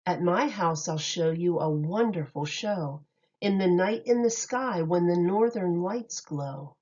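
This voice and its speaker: A woman reading a rhyming picture book aloud in an expressive, sing-song way meant for young children. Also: on the low side, a little slow, muffled recording.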